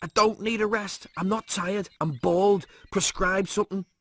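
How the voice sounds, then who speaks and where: A man's voice: high-pitched, steady tone, normal speed, a bit bright, dry recording, pitched above the performer's own voice. A man voicing a whiny, agitated young working-class slob, indignant and pleading.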